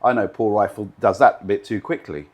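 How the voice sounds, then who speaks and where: A man's voice: lowish voice, fairly even intonation, fairly quick, a little mellow. A man in his fifties, raised in Britain, a live TV director answering interview questions confidently, often stacking points in emphatic lists.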